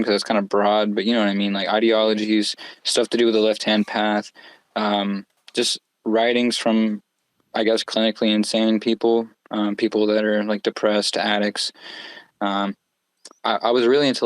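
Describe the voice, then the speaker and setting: A man's voice: lowish voice, no room sound. A young man from Florida, a podcast guest, talking in a laid-back, rambling, slangy way. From Northwest Florida. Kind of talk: casual podcast interview.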